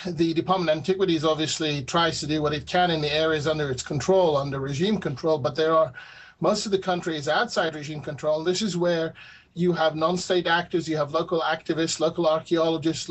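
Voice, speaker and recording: A man from Syria, a history professor and panel guest, answering earnestly and repeating words when he is pressed. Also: a little high.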